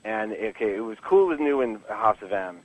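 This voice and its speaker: A man's voice: lowish voice, lively intonation, brisk, low-quality recording. A man speaking casually and candidly as a guest in a podcast interview, rambling with frequent false starts and a joking streak. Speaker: comic book writer being interviewed.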